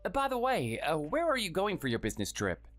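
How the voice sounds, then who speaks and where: A performer voicing a meek, sickly man in his thirties who speaks softly and hesitantly, always apologizing. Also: high voice, wildly swooping pitch, a little fast, soft background music, exaggerated voice. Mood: pleading. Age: 30s. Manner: soft, hesitant, pleading. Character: meek sickly young husband, timid and apologetic.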